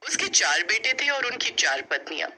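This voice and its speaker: A woman narrates a scripted story as a storyteller. Her voice is very bright and forceful, with a lively, expressive melody. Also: fairly high pitch, normal speed, a little hiss or hum, rough recording.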